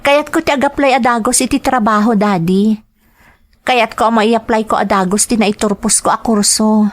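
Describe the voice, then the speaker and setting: A woman's voice: fairly high pitch, moderate pace, lightly acted. An actress playing an affectionate, independent young Ilocano woman fresh out of college in a radio drama. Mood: polite, determined. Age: young adult.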